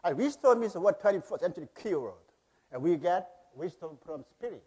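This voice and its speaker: A man with a Korean accent presents to a conference audience through a podium microphone. He is a bit nervous and pushes quickly through his material. His voice sits somewhat high for a man. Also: expressive, darkish tone, breathy edge. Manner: says he is nervous, rushes through. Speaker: conference speaker, university president. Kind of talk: presentation talk. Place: large conference hall.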